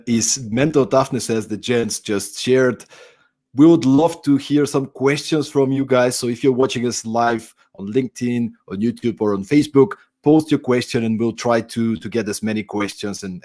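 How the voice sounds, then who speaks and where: A man hosting a panel that streams live online, with a voice of middling pitch. He keeps the talk moving cheerfully and his voice jumps up when he puts a question to a guest. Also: darkish tone. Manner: upbeat, lifts his voice sharply when asking questions. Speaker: moderator.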